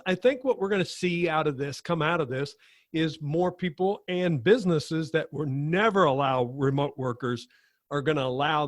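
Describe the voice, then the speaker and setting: Dry-sounding, higher-voiced man hosting. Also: dry recording. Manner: enthusiastic, loosely phrased questions. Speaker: podcast host. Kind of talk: conversational interview.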